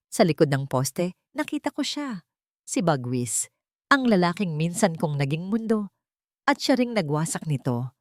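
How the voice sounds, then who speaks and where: A woman narrating a romantic audio drama in a dramatic, expressive storytelling manner. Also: lowish voice, dry recording, studio quality.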